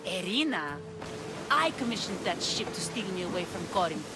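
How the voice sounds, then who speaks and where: A woman's voice: on the low side, big pitch swings, normal speed, loud music behind the voice. A woman playing a guarded, strong-willed character from the ancient world in a cinematic video game. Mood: defiant, pleading. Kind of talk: cinematic game dialogue acting.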